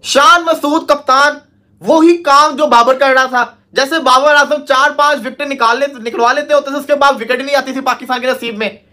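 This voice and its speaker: A man's voice: very high voice, steady tone, moderate pace, pushed and bright. A man from Pakistan giving scornful cricket analysis and asking rhetorical questions. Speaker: cricket commentator. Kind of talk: cricket analysis talk show.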